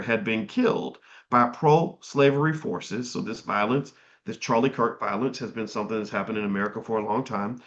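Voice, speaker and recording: A man's voice: medium pitch, a bit bright. A middle-aged man reading passages from a history book aloud and stopping to comment on them in a casual way. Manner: reads passages and breaks off to comment. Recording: dull, slightly lo-fi sound.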